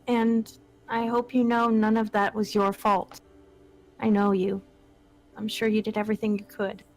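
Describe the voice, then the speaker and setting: A woman's voice: mid-range voice, flat delivery, unhurried, soft background music, natural voice, rough recording. A woman playing a playful, caring friend in her twenties, speaking conversationally in a dramatic script reading. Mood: caring, reassuring.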